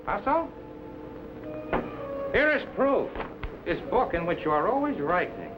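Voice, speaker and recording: A scheming Chinese villain in a vintage serial, high-pitched and coldly polite. His formal, clipped phrases swing widely in pitch. The soundtrack is muffled, with music underneath. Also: sing-song, unhurried. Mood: coldly accusing. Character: scheming, falsely courteous male Chinese villain, coldly menacing. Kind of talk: 1950s adventure serial acting.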